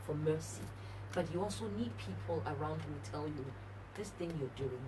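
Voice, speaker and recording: A middle-aged woman with a notably low voice tells anecdotes in a relaxed, candid way, acting out bits of dialogue. She sits a bit back from the microphone in a radio studio, with a clear layer of background noise. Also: fairly quick. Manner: laughs often, tells anecdotes with quoted dialogue. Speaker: gospel singer as interview guest. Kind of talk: candid, animated conversational storytelling. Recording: distant mic with audible background noise.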